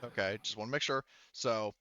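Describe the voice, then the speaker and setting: Casual male game master, clear smooth voice, sweary table talk, dry and slightly muffled recording. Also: on the low side, brisk, bright, forceful tone, no echo. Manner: informal, swearing.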